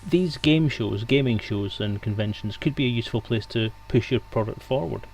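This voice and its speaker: A man with a medium-pitched voice hosts a casual streamed interview, with some background noise behind him. He builds up his questions in a measured, roundabout way. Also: gravelly, darkish tone. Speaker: host interviewer. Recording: noticeable background noise, room ambience.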